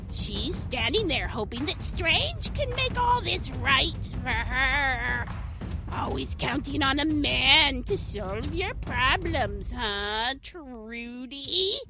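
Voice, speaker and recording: A woman playing a malevolent, taunting hag demon in an audio drama, with menacing, mocking, drawn-out theatrical delivery. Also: high voice, full character voice.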